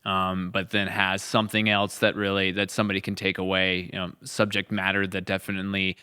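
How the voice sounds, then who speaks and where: A man's voice: low voice, completely flat delivery, a little fast, sharp tone, smooth. A man reflecting casually as a podcast co-host, often restating his phrases. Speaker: podcast co-host, writer and series creator.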